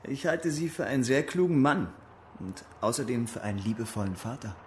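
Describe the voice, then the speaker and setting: An actor playing a young soldier, cunning and coolly defiant, speaking in a controlled, formal, evasive way in a radio crime drama. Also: male voice, medium pitch, fairly quick, slight background noise, lightly acted. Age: young adult.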